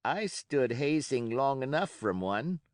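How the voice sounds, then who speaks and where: A man's voice: mid-range voice, animated, measured pace, no room sound, studio quality, voice pushed up, close to an everyday voice. A man voicing a resentful pirate crewman who grumbles in a sullen way.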